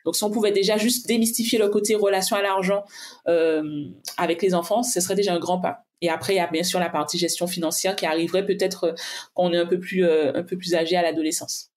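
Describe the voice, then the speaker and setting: A woman's voice: fairly low pitch, fairly even intonation, fast talker. A woman guest in a casual conversation, a finance coach who talks pragmatically and thinks aloud with frequent restarts. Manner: reflective, pragmatic, many restarts and fillers while thinking aloud.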